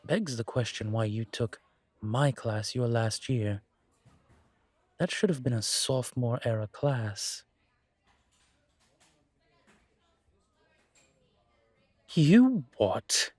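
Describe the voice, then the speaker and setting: A man playing a suave incubus demon professor in a roleplay audio drama, teasing and protective, with a wry, measured delivery. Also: mid-range voice, expressive, a little mellow, no room sound. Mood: wry, mock offended.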